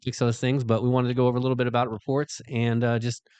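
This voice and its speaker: A man hosting a casual workplace talk show, chatting quickly with lots of fillers. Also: medium pitch, level tone, darkish tone, clear, resonant tone, no echo. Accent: Midwestern American. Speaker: show host, security lieutenant.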